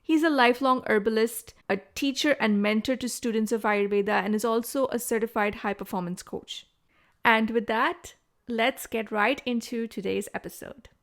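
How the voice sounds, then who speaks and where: A woman's voice: on the high side, moderate pace. A woman hosting a wellness podcast, introducing the show and interviewing a guest in conversation. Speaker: podcast host, Ayurvedic practitioner.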